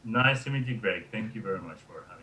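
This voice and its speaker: A man with an Israeli accent answering interview questions as a remote guest, speaking somewhat haltingly. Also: fairly low pitch, expressive, sharp tone, rough recording. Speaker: interview guest, industrial designer.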